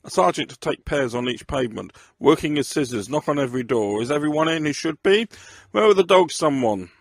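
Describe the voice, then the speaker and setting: A man's voice: a little high, lively intonation, fairly quick, no echo, studio quality, voice pushed up. A man playing a bitter, self-important police superintendent in an audio crime drama, commanding and barking out his orders.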